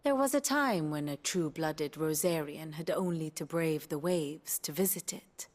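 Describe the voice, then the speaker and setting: An actress playing a learned, wry and poised woman counsellor in a fantasy video game, lecturing in a measured, erudite way. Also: low voice. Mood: measured, scholarly. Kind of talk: expository fantasy game acting. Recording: loud music under the voice. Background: loud music.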